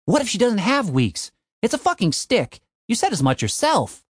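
A man's voice: high-pitched, wildly swooping pitch, normal speed, dry recording, clean studio sound, voice pushed up, exaggerated voice. A man voicing a determined, insistent young man in an audiobook story. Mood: pleading.

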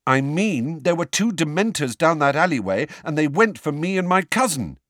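Man playing an urgent, high-pitched teenage boy. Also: big pitch swings, normal speed, fairly bright tone, dry recording, clean studio sound. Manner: urgent, insistent. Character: impatient, defensive fifteen-year-old schoolboy wizard.

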